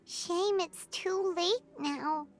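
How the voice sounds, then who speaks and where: A woman voicing a tiny, cheeky childlike companion girl in a video game, squeaky and cartoonishly expressive. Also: exaggerated voice.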